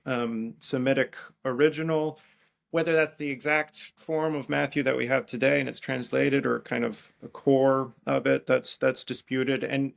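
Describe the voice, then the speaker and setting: A man's voice with a gravelly fry underneath, at a middling pitch. He answers like an academic guest in an interview, feeling his way through each explanation and doubling back on words as he rephrases them. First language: English. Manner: halting, thinking aloud, repeating words as he rephrases. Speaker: guest biblical scholar and priest.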